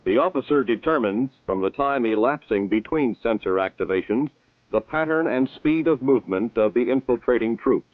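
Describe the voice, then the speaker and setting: A man giving formal, measured scripted narration for an old military training film. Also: medium pitch, expressive. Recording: tinny lo-fi 1960s film soundtrack.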